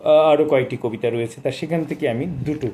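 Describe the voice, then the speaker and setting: A man in his sixties presenting a book of poetry, speaking and reciting in a measured, expressive way with formal literary diction. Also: on the high side, a little hiss or hum. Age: 60s.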